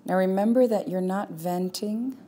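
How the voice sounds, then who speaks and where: A woman leading a guided meditation as a teacher, speaking calmly and without hurry, leaving long pauses. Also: medium pitch, breathy edge. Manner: calm, unhurried, with long pauses.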